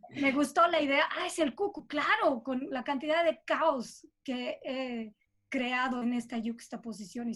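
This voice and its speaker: A woman with a Bolivian Spanish accent, talking conversationally as an interview guest about her own creative work, often checking in with tag questions. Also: on the high side. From Cochabamba, Bolivia. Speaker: guest composer.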